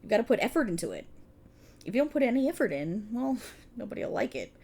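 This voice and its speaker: Woman acting a prickly, animated female police officer. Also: fairly high pitch, quick pace. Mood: wry, encouraging. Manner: wry, bossy, explanatory, emphatic. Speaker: voice actor in audio roleplay. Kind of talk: scripted ASMR roleplay, one-sided dialogue.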